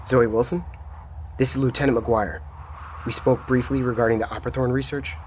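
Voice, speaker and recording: A man's voice: medium pitch, steady tone, moderate pace, a little mellow, a little hiss or hum, close to an everyday voice. An actor playing a polite, composed male military lieutenant in a radio drama, speaking formally.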